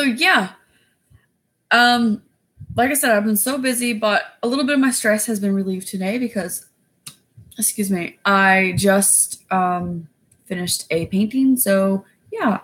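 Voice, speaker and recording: A woman with a raspy voice chatting casually on a livestream, rambling with many fillers. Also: medium pitch, a bit bright. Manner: rambling, loose, lots of fillers. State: winded after exercising. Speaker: YouTuber going live.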